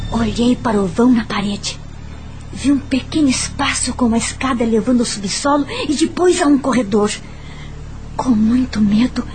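An actress with a Brazilian accent playing an anxious, curious young governess in a dramatic radio drama, narrating in the first person. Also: female voice, a little high, a little mellow.

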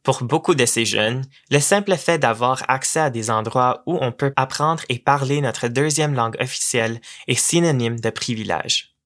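A man reading scripted documentary narration in a steady, formal way. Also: medium pitch, normal speed.

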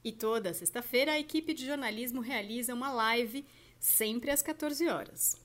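A woman podcast host and journalist reading a news roundup and interviewing a guest in a steady, clear presenter delivery. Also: on the high side, normal speed.

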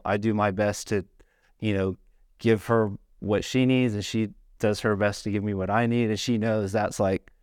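Middle-aged dude chatting loose, lots of likes and you knows, smooth clear voice, dead dry mic. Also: male voice, on the low side, darkish tone, no room sound. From South Carolina, born in Hawaii. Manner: rambling, lots of "like" and "you know". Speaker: podcast guest, surfboard shaper. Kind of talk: casual conversation.

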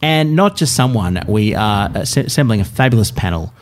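Male podcast co-host, wry and joking, very animated intonation. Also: fairly low pitch, normal speed. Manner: wry, joking, lively delivery. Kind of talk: casual political comedy chat.

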